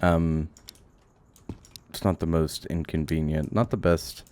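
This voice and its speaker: A man giving casual gameplay commentary, thinking aloud in a halting, rambling way and swearing now and then. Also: rumbling low, steady tone, darkish tone. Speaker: game streamer. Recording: audible background noise, clicks.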